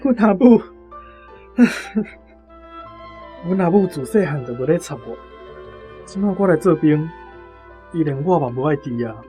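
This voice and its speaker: Naive young army conscript, Taiwanese accent, earnest, fairly high voice, radio drama, music underneath. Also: male voice, animated, measured pace, long pauses, dark tone. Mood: sad, subdued. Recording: music behind the voice.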